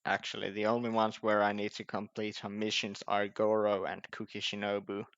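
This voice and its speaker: A man giving casual gameplay commentary, thinking aloud in a halting, repetitive way as he plans. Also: on the low side, monotone, fairly bright tone, no room sound. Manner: halting, repetitive, planning as he goes. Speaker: gaming video creator. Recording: dull lo-fi mic, game music behind. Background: faint game music.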